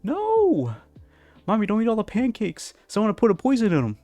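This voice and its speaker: A man's voice: sits high, normal speed, no room sound, faint music behind, pitched above the performer's own voice. A man voicing a young pony prince, a playful, cheeky, scheming little boy in a storybook reading. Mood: frantic. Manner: excitable, cheeky, childlike.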